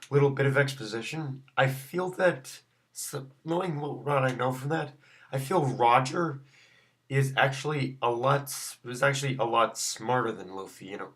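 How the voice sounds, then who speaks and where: Slightly raspy man, halting delivery. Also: medium pitch, fairly bright tone. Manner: halting, repeats and restarts phrases often. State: sore throat. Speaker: manga reviewer and video host. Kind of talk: unscripted casual review commentary.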